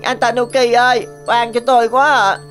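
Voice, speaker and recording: A woman's voice: on the high side, flat delivery, moderate pace, a bit bright, soft background music, lightly acted. A woman voicing a small, clever cartoon rabbit with an earnest, coaxing manner. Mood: pleading. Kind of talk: animated cartoon dialogue.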